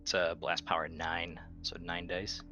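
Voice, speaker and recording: A man's voice: deep voice, completely flat delivery, unhurried, music in the background. A man chatting casually as a player in a tabletop roleplaying game.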